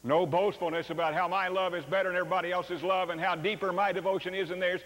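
Older pastor, animated stage preacher, long reverb of a large church. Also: male voice, high-pitched, quick pace. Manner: animated, acts out dialogue with sweeping gestures. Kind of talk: sermon.

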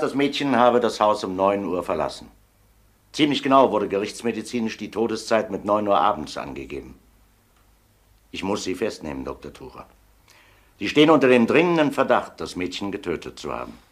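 Actor as low-voiced, stern police inspector. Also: male voice, expressive, natural voice. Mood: stern, formal. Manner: measured, formal, insistent. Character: stern, methodical male police detective inspector. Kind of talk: German crime radio drama acting.

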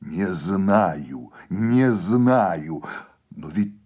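A man's voice: low-pitched, lively intonation, extremely slow, warm tone, husky, heavily acted. A man voicing a condemned village husband, muted and terse, bitter with suppressed despair. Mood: desperate fury.

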